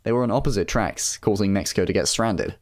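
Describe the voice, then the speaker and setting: Low-pitched man hosting a casual podcast. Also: fast talker. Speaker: podcast host. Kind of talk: casual chat, moderating and reading listener messages.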